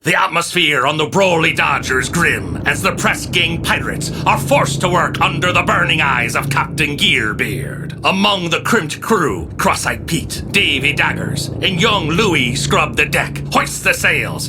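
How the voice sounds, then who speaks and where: An actor as a storytelling narrator, giving scripted, theatrical narration of a pirate adventure. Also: male voice, a little high, lively intonation, unhurried, hardly any pauses, music in the background. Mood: ominous.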